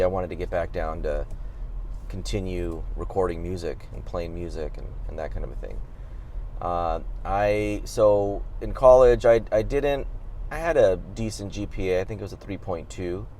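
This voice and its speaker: A man's voice: low voice, mellow, slight background noise. A man in his late thirties from Southern California, a trial lawyer chatting casually on a podcast, self-deprecating and rambling with frequent restarts. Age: 30s.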